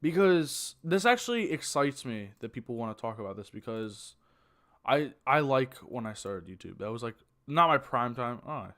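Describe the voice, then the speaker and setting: A man's voice: mid-range voice, sing-song, moderate pace, fairly bright tone. A young man in his late teens, streaming from home on a desk mic and chatting casually, rambling with lots of fillers and self-corrections. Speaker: streamer reacting to videos. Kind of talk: casual live-stream chat.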